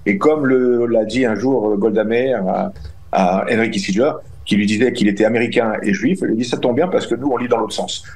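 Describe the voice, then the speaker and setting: A man's voice: on the low side, fairly quick, a little mellow. A middle-aged man answering interview questions as a guest, emphatic and indignant, often restarting his phrases. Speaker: guest, community representative.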